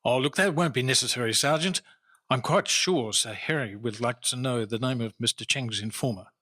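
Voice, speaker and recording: An actor playing a shrewd, wry Victorian-era customs inspector in a radio detective drama, formal and measured as he talks and narrates his cases. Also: mid-range voice, pushed and bright, dry recording, clean studio sound. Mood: dry, knowing.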